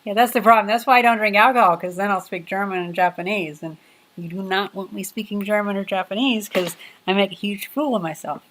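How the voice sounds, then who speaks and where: A young woman in her twenties hosts a relaxed livestream from her home workshop. Her talk wanders from topic to topic, loose and chatty, full of little fillers. Her pitch sits right in the middle of the range. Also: brisk, poor audio. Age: 20s. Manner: rambling, chatty, many fillers. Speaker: livestream host. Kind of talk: casual livestream chat.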